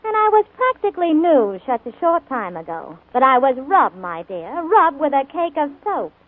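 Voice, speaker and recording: A woman's voice: high voice, big pitch swings, moderate pace, no room sound. A woman voicing a self-pitying, theatrical talking stocking in a 1940s radio commercial. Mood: indignant, pitying. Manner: aggrieved, theatrical. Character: self-pitying, melodramatic nylon stocking, worn out young. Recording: tinny, narrow-band 1940s radio recording.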